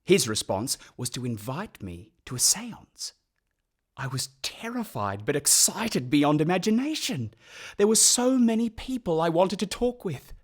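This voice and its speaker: An actor playing a sensitive young orphan who narrates a gothic radio mystery in a hushed, intense, literary way, with a breathy voice. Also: male voice, fairly high pitch, sing-song, a little slow, exaggerated voice. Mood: haunted, grieving. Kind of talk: dramatic first-person radio play narration.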